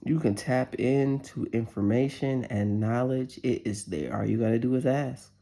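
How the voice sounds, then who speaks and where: A woman in her forties with a deep voice giving a casual tarot reading and prayer, chatty and candid, cursing freely. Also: moderate pace, warm tone. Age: 40s. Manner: chatty, candid, curses freely and laughs often. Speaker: tarot reader. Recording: roomy echo.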